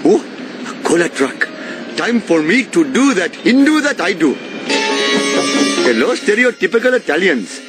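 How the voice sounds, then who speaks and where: A man's voice: high voice, very animated, measured pace, long pauses, music in the background. A man voicing an earnest, anxious cartoon shopkeeper with an Indian accent and formal, wordy speech. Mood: anxious, dutiful.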